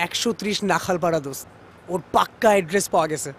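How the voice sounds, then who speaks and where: An actor playing an excitable, hopeful young man in a TV drama, with a Bangladeshi accent and an eager, slangy, repetitive way of talking. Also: high-pitched, quick pace, slight background noise. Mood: eager, excited. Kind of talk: natural TV drama dialogue.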